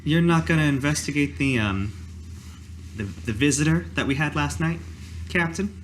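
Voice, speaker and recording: A woman's voice: deep voice, expressive, normal speed, a little hiss or hum. Woman playing Elsie, polite folksy townsperson, lilting delivery. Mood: helpful. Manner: courteous, mildly old-fashioned turns of phrase. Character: Elsie, polite, helpful, folksy small-town local.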